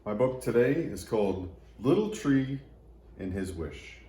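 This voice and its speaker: Male children's story narrator, lowish and mellow, expressive phrasing, recorded in an echoey room. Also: unhurried. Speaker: storybook reader for church children. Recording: echoey room, faint background noise.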